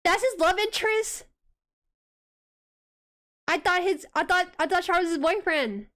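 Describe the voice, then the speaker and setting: A woman with a soft voice chatting casually and reacting excitably as she hosts an anime watchalong stream. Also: extremely high pitch, measured pace.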